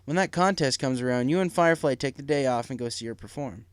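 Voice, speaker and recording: A man's voice: mid-range voice, fast, clean tone, dry recording, clean studio sound, lightly acted. A man voicing a frank, supportive pony workmate in a storybook, direct and probing. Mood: encouraging. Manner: direct, probing, plain-spoken. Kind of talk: storybook character reading.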